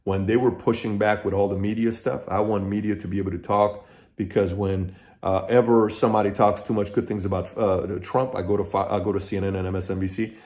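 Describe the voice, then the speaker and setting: A man's voice: fairly low pitch, level tone, a little fast, a little mellow. Emphatic man hosting his own channel. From Iran. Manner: emphatic, talks in lists with frequent restarts. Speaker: channel host. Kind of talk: direct-to-camera commentary.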